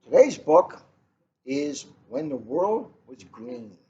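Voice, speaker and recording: Slow-paced man reading aloud, muffled recording. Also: fairly high pitch, big pitch swings, warm tone. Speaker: police officer reading a picture book aloud. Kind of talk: casual chat and storybook read-aloud. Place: coffee shop, indoors. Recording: muffled, noisy room recording.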